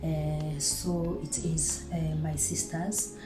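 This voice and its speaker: A woman vlogger with a fairly low voice, whose first language is Swahili, talks in a relaxed, chatty way to her viewers. The room rings with echo, and clear music plays behind her. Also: slow pace. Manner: relaxed, chatty, with self-corrections. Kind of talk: casual talk to camera.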